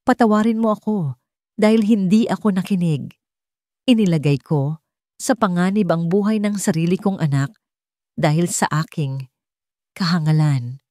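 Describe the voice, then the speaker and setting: A woman plays a wealthy Filipino father in an audio drama. He speaks gently and earnestly, full of remorse. The voice is lowish and recorded very dry. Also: moderate pace, pauses often, no echo, lightly acted. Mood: remorseful, emotional.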